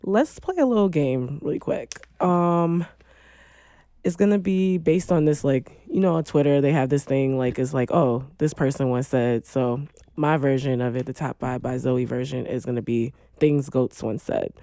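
A woman hosting a casual podcast interview, leading games and backing guests with steady mm-hmms. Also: extremely low pitch, moderate pace, no echo, clean studio sound. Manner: relaxed, frequent mm-hmm backchannels. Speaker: podcast host.

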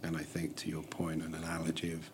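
Deep-voiced male interview host. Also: completely flat delivery, brisk, a little hiss or hum. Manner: reflective, sums up the guest's points. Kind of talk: interview conversation.

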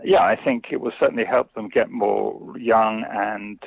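A man's voice: fairly low pitch, steady tone, a little slow, gravelly, no echo. Man talking over a tinny phone line. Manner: hesitant, many fillers and restarts. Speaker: China specialist guest. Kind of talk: interview answers.

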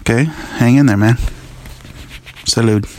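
An older man from northern Minnesota leaving an unhurried, folksy message for a podcast. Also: medium pitch, dark tone, a little hiss or hum, low-quality recording. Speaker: listener calling in.

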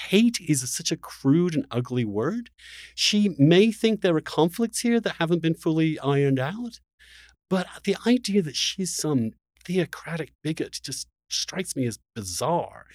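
A man hosting an impassioned conversational debate, haltingly repeating words as he builds his point. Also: a little high, no room sound, clean studio sound. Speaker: interview host.

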